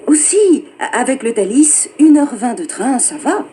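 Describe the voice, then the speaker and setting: Actress doing friendly young french woman chatting on a train, textbook dialogue, lively but soft toned, bit of background noise. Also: high-pitched, unhurried. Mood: friendly, chatty. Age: young adult. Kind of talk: scripted language-textbook dialogue. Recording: faint background noise and ambience.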